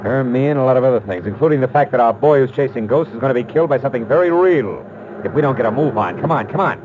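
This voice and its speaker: An actor playing a tough, wisecracking private detective with an American accent in a 1940s radio noir, hard-boiled and wry. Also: male voice, medium pitch, expressive, fast talker, narrow, tinny audio, a little hiss or hum, lightly acted. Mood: urgent, insistent.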